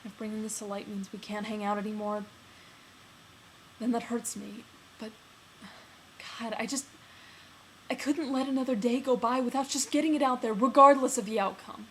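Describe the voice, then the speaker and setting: Woman doing a lovesick guy pouring his heart out to a friend, halting then emphatic, bit of noise. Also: medium pitch, flat delivery, a little mellow, natural voice. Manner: earnest, halting, emphatic delivery with swells in volume. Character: lovesick man confessing love to his friend. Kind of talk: dramatic film monologue performed on stage. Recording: noticeable background noise.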